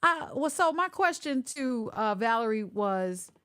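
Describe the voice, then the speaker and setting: A woman hosting a casual online interview over a video call, chatty and animated as she works through connection glitches. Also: on the high side, measured pace, clear, resonant tone. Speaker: host.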